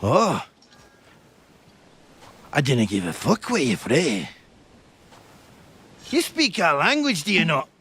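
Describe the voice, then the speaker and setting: An actor playing a rowdy, mocking young nobleman in a video game, with a jeering, drawn-out delivery. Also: fairly high pitch, huge pitch swings, sharp tone, breathy edge, heavily acted. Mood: condescending.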